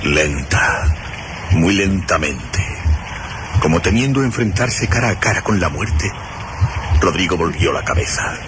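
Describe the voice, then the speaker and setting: An actor as the grave storyteller of a radio horror drama, narrating with deliberate, suspenseful phrasing and dramatic pauses. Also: male voice, deep voice, animated, background noise. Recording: radio drama with loud music behind.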